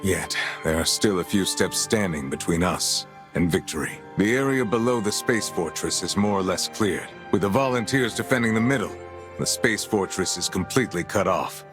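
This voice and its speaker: An actor playing a grave veteran lord and military commander in a video game, speaking in a formal, measured way. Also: male voice, low voice, over music. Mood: grave, resolute. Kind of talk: dramatic video game cutscene acting.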